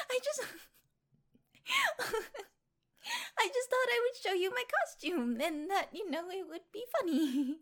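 Woman acting as teasing apologetic woman, audio roleplay, high-pitched, slow-paced, halting, coaxing. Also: animated. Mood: giggly, apologetic.

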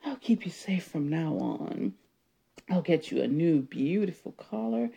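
A woman performs a possessive, domineering wife with a husky, breathy voice. She speaks slowly and with sultry weight, and her melody rises and falls widely. She moves between hushed whispers and loud claims. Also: low voice, expressive, heavily acted. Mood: tender, soothing. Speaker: audio roleplay performer. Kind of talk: solo audio roleplay.

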